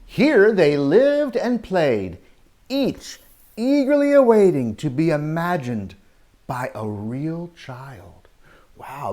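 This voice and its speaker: A man reading a picture book aloud to young children as their teacher, expressive, drawing out story lines and adding exclamations and questions for the listeners. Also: on the high side. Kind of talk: animated read-aloud storytelling with asides to children.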